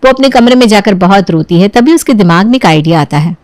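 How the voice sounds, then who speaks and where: A woman's voice: mid-range voice, lively intonation, fast talker, darkish tone, no room sound, studio quality. A woman narrating a scripted story as a storyteller.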